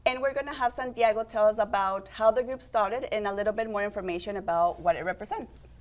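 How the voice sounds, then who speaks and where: A woman's voice: medium pitch, quick pace. Upbeat woman hosting a TV interview. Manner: friendly, upbeat, guides the guests with questions. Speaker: host and program organizer. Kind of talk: community TV interview.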